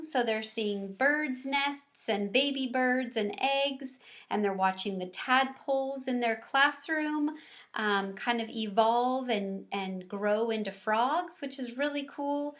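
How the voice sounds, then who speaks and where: Higher-voiced woman reading a story aloud. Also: slow, fairly bright tone. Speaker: reader of a children's book aloud. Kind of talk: casual read-aloud storytelling for children.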